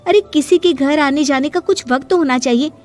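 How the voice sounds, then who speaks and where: A woman playing a sharp-tongued, defiant young daughter-in-law in a cartoon story, arguing with exaggerated indignation. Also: high voice, brisk, soft background music, natural voice. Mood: indignant. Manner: argumentative, exaggerated indignant delivery.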